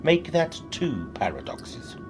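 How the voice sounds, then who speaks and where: An actor playing a sly, cajoling companion in an audio drama, theatrical and swinging between hushed and emphatic delivery. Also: male voice, on the high side, big pitch swings, slow, music in the background. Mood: assertive.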